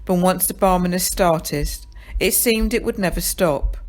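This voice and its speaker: A woman's voice: on the low side, measured pace, darkish tone. A woman reading a children's story aloud, dramatic and addressing the listener directly as narrator. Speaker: story reader.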